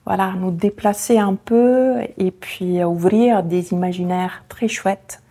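A woman's voice: medium pitch, slow pace, warm tone. A woman in her forties from Italy, speaking French with an Italian accent, thoughtfully answering interview questions with many hesitations. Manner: reflective, many hesitations with euh. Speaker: guest, founder of a language association. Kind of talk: conversational interview.

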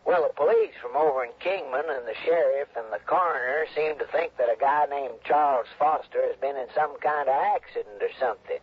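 A man's voice: medium pitch, brisk, few pauses, husky, natural voice. A man playing a friendly, folksy fishing resort manager with an American accent in a 1960s radio drama, chatty and deferential. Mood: hearty, eager. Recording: dull, noisy vintage radio recording.

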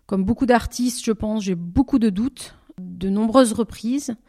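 A woman singer answering interview questions in a thoughtful way, pausing and rephrasing often as she searches for words. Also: mid-range voice, warm tone. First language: French. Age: over twenty. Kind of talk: reflective interview talk.

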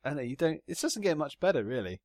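Lively joking man, dry sound. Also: fairly high pitch, quick pace, no room sound. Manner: joking, laughs often, restarts. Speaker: podcast co-host. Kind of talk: casual chat about motor racing.

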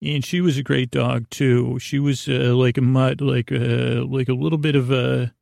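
A man hosting a bedtime podcast in a halting, digressive monologue, goofy and self-deprecating, with a creaky voice. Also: medium pitch, flat delivery, darkish tone, no echo, studio quality. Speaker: podcast host.

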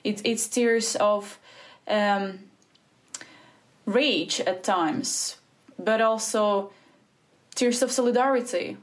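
Earnest woman, halting speech. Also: mid-range voice. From Ukraine. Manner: earnest, halting, with many restarts and fillers. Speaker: guest, spokesperson for a Ukrainian organisation. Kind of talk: interview answers.

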